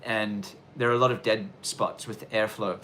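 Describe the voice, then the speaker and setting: Relaxed man with a mixed Australian-London accent. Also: fairly low pitch, a little hiss or hum. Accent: mixed Australian and London, with some Irish twang. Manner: rambling, self-correcting, deliberately clear delivery. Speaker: livestream host answering viewer questions. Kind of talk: casual live Q&A chat.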